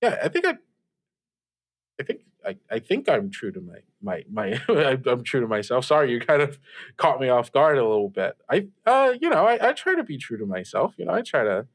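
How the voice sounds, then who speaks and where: A man's voice: medium pitch, wildly swooping pitch, fairly quick. Stammering, earnest radio host played by a man. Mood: flustered. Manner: stammering, hesitant, self-effacing. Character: earnest, awkward young male radio host, easily flustered. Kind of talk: improvised audio drama, casual call-in chat.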